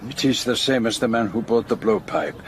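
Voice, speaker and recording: A man playing a shrewd, courteous in a radio drama, speaking formal, precise in a measured way. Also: mid-range voice, steady tone, creaky, slight background noise, natural voice. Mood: thoughtful. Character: shrewd, courteous male detective, calmly probing.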